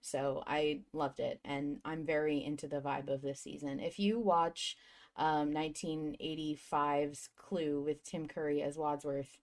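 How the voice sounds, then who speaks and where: A woman's voice: sits low, steady tone, measured pace. A woman hosting a fan podcast in casual, effusive, enthusiastic chat. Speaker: podcast host.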